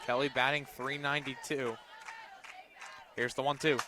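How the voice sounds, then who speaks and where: A man doing live play-by-play commentary of a softball game for radio, outdoors at a ballfield. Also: mid-range voice, flat delivery, moderate pace, a crowd in the background, background noise.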